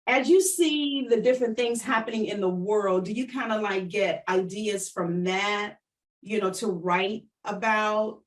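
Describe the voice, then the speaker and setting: A woman's voice: mid-range voice, far from the mic, low-quality recording. A woman hosting a casual livestream interview, chatting conversationally and addressing viewers directly, often repeating her phrases. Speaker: online show host and interviewer.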